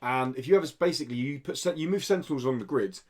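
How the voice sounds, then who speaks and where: A man's voice: medium pitch, expressive, rapid-fire, a little mellow. A man co-hosting a podcast, giving an enthusiastic, casual spoken review in conversation. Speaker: podcast co-host reviewing indie games. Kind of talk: casual game review chat.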